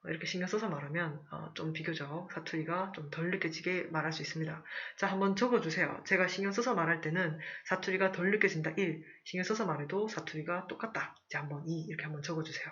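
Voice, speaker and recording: A teacher chats casually through a live online class, stopping often to clear their throat and filling pauses as they go. Example sentences come out slow and deliberate. The voice sits at a middle pitch, and the audio is slightly dull and lo-fi. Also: a bit bright. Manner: frequent throat-clearing, fillers, reads example sentences aloud slowly. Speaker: English conversation teacher.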